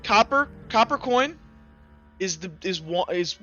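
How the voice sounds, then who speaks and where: Male game master, high bright voice, casual and halting, slightly muffled audio with music underneath. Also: animated. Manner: halting, thinking out loud. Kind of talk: casual tabletop game talk. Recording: slightly dull, music behind. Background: background music.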